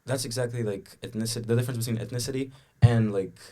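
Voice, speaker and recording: A man's voice: mid-range voice, steady tone, fast talker, dark tone. A young man from Angola in casual podcast conversation, explaining his view at length with frequent restarts. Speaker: podcast co-host.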